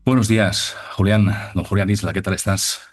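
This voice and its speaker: Man, podcast host, very low voice, husky, wordy, easygoing interviewer. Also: steady tone, moderate pace, soft background music. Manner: warm, wordy, with frequent restarts. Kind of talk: interviewer's casual chat.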